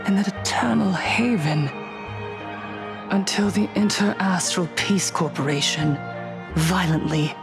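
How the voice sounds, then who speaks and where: A woman playing a fervent cult preacher in a game, delivering a solemn, rousing, theatrical sermon about happiness. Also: mid-range voice. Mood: solemn, reverent. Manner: solemn, measured, rousing oratory. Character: fervent female cult preacher promising happiness, smiling and zealous.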